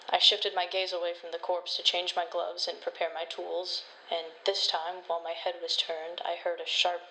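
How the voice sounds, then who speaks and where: Woman playing an uneasy pathologist, bright and tense. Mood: uneasy, resolute. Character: uneasy forensic pathologist woman recounting a horror case. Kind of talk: scripted first-person horror narration. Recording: noisy low-quality home microphone recording.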